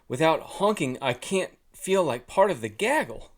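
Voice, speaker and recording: Man doing a loud, indignant Jersey goose. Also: on the high side, sing-song, measured pace, voice pushed up. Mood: proud, grumbling. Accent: New Jersey. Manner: loud, exasperated, emphatic delivery. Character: brave, excitable, indignant talking goose with broken honk.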